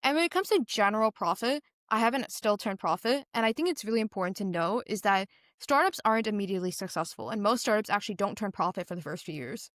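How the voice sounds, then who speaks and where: A woman's voice: medium pitch, lively intonation, fast, fairly bright tone, no echo, studio quality. A teenage girl from Singapore giving earnest, conversational interview answers as a young business founder, telling stories animatedly with a lot of "like". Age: teens.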